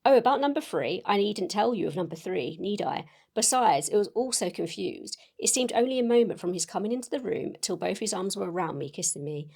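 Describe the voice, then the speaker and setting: Woman playing a gushing young Victorian lady, creaky voice. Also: mid-range voice, expressive, a little fast, few pauses, a little mellow. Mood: happy, gushing. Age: young adult. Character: excited young Victorian lady, gushing and sentimental letter-writer. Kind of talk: dramatic reading of a letter.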